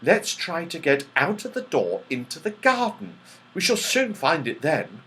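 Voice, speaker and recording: A man acts a boy from a children's storybook. He is practical and a bit gloomy, matter-of-fact yet varied in pitch. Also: huge pitch swings, unhurried, sharp tone, full character voice, poor audio.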